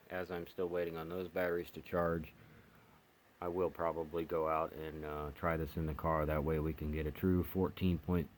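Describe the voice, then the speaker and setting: A man talking casually and unscripted through a hands-on gear review, rambling and hesitant in a plainspoken way. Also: noisy background. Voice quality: low-pitched. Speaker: radio hobbyist reviewer.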